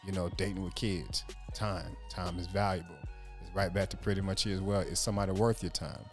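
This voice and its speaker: Deep-voiced man rambling on a podcast. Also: fairly even intonation, a little fast. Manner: rambling, loose, repeats "you see what I'm saying". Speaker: podcast host. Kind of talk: casual chat. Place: small room, group podcast around a table. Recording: music playing in the background.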